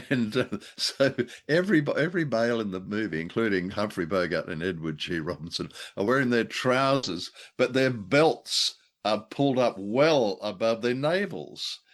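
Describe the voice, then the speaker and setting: Man chatting in a low, wry voice. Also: lively intonation, normal speed. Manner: wry, jokey, wordy asides. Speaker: podcast co-host. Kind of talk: casual review chat about an old radio play.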